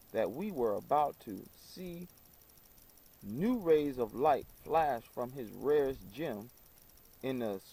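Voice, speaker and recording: A man reciting a literary text as its reader, in a solemn, deliberate and dramatic manner. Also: mid-range voice, vocal fry, warm tone, slightly rough, low-quality recording.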